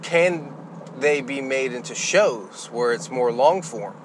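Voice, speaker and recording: A man's voice: mid-range voice, animated, takes it slowly. Casual male podcaster over background noise. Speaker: podcast host. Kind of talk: casual conversational podcast chat about movies.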